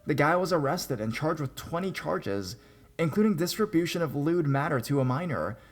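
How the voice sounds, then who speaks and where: Man narrating stories with wry asides. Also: on the high side. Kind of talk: scripted narration of reader stories.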